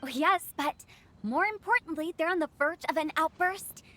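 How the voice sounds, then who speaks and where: A woman's voice: very high voice, normal speed, sharp tone. A woman playing a sly, playful teenage funeral director in a video game, with theatrical, probing delivery. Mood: suspicious. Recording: music behind the voice.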